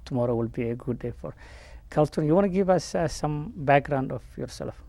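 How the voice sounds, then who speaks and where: A man hosting an informal interview, putting questions and comments in a halting, conversational way. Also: a little high, expressive, gravelly, mellow, no room sound. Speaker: interview host.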